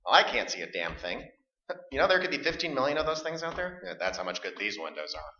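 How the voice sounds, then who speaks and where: An actor playing a stubborn, defensive middle-aged husband in a staged radio play, arguing and stammering. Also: male voice, medium pitch, big pitch swings, fast talker, sharp tone. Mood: irritable. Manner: stammering, argumentative. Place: live stage performance in a room. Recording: dull, echoey stage recording.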